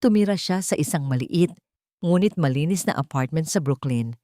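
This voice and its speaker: Low-voiced woman narrating a drama. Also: lively intonation, moderate pace, warm tone, no room sound, studio quality. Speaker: storyteller. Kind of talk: scripted dramatic story narration.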